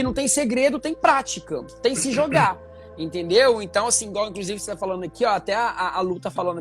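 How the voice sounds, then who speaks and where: A man from Minas Gerais, Brazil, hosting a casual chat in a lively, rambling way with many fillers. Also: sits high. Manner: chatty, animated, many fillers. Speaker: show host. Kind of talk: casual conversation.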